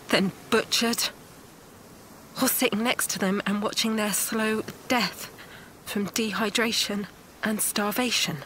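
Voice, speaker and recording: A woman's voice: medium pitch, a bit bright, slight background noise, low-quality recording. A woman playing a desperate, protective mother at sea in an audio drama, narrating in the first person with intense emotion. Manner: intense, emotionally charged delivery.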